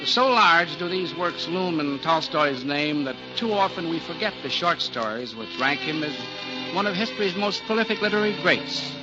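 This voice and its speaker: A man narrating a 1940s radio drama in a formal, measured storytelling manner. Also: on the high side, lively intonation. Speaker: narrator and announcer. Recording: dull old radio recording. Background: music.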